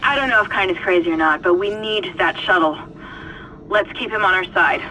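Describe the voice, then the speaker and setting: An actor playing a wary, pragmatic male crew member talking briskly and guardedly in a sci-fi game. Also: sits high, music in the background, lightly acted, poor audio.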